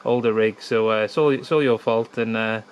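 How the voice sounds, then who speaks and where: A man's voice: fairly low pitch, fairly even intonation, moderate pace. Easygoing Welshman chatting, faint background noise. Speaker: amateur radio operator. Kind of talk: casual radio contact chat.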